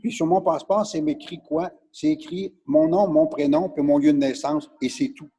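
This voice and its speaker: A man with a mellow, husky voice and a Quebec French accent speaks as a guest on a discussion podcast. He is emphatic and insistent, haltingly repeating words as he states his opinions. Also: a little high, steady tone.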